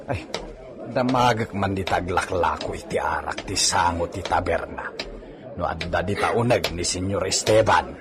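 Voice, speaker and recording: A man's voice: low voice, moderate pace, noisy background, lightly acted. A man playing a cheeky, boastful village horseman with an Ilocano accent in a comedy radio drama, chuckling and talking to himself. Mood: playful.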